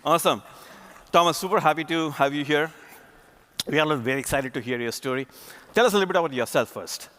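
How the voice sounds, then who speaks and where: A man's voice: a little high, quick pace, long pauses, sharp tone. A man hosting an on-stage conference interview, with upbeat, enthusiastic prompts that welcome and question his guest.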